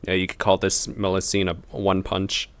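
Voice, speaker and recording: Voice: sits low, completely flat delivery, moderate pace, slight background noise. A game reaction streamer giving casual, chatty commentary with laughing asides. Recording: game music playing behind.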